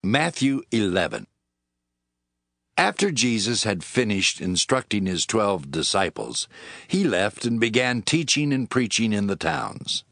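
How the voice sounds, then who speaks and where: A male narrator reads scripture from a script. His voice is fairly low with lively, varied intonation, recorded dry and close. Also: a little slow, vocal fry, dry recording.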